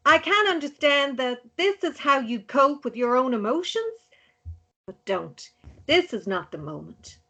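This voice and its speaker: Woman as grieving, restrained mother, fairly high, clear-edged voice, measured delivery. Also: very animated, heavily acted. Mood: grieving, controlled. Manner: soft, considered delivery. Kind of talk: table-read dialogue acting.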